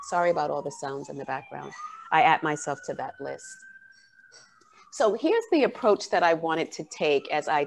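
A woman with a low voice speaks over a video call, giving an academic keynote. She talks in an earnest, measured way and leans on fillers between her thoughts. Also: a little hiss or hum.

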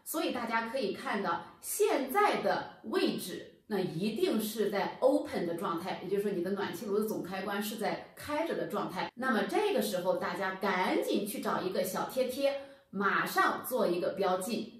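A woman's voice: medium pitch, big pitch swings, moderate pace. A woman in her thirties giving a patient, step-by-step home-heating lesson, speaking straight to viewers. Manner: patient, explanatory, addresses viewers directly. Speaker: HVAC channel presenter. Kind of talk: instructional explainer. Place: plain indoor room against a bare wall. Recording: very echoey room, voice from far off.

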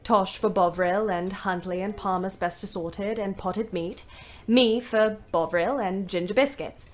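A woman's voice: medium pitch, a little slow, natural voice. An actress playing a wry, resentful young British woman ambulance driver, narrating her diary in an emphatic way. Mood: cheerful. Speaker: actor narrating an audio play.

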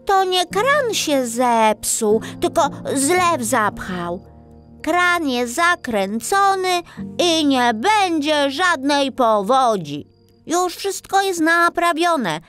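A woman voicing a cheerful, kindly little star girl in a children's bedtime story, with a sing-song, expressive, childlike delivery. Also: high voice, very slow, soft background music, pitched above the performer's own voice, full character voice. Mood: soothing.

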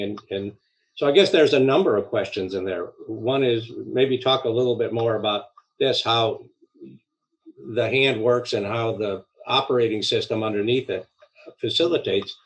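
An older man hosts a conversational interview over a video call, pausing often as he phrases things. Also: lowish voice, low-quality recording. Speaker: podcast host. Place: indoor home room, video call. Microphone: headset on a video call.

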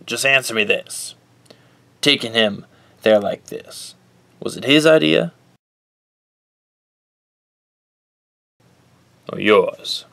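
Supporting radio-drama role, male actor: kindly police commissioner, mid-pitched, gravelly, sincere, music underneath. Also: expressive, takes it slowly, a bit bright, full character voice. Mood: probing. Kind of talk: audio drama acting.

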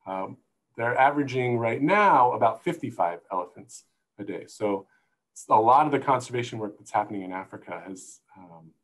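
A man's voice: mid-range voice, animated, normal speed. A middle-aged man with an American accent giving an informal slide presentation as a guest expert over a video call. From United States. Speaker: zoo conservation manager, guest speaker. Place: room indoors. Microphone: earphone headset on video call.

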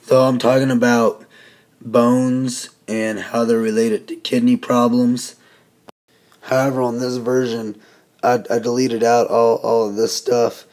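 A hoarse man giving a casual, folksy whiteboard lecture as a teacher. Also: mid-range voice, a little mellow. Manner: folksy, conversational explaining.

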